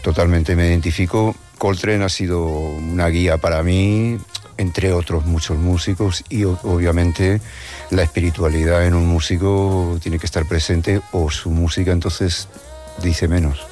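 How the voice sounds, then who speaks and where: A man from Madrid with a Madrid Spanish accent speaks in a very low, deep voice. He is a musician answering a radio interview, thinking aloud and restarting his sentences. Quiet music hums faintly underneath. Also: steady tone. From Madrid, Spain. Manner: thoughtful, halting, many restarts. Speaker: musician guest.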